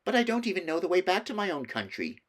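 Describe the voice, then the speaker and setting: A man's voice: sits high, sing-song, quick pace, pitched above the performer's own voice. A man voicing a greedy, sulky young boy with a petulant manner in a storybook. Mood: pleading. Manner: petulant, pleading.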